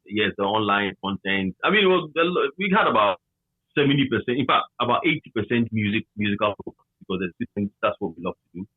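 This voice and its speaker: A Ghanaian man reminiscing conversationally as an interviewee, with a husky voice and a habit of repeating phrases for emphasis, over a tinny line. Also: lowish voice, expressive, fast, dry recording. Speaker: interviewee, former campus radio manager.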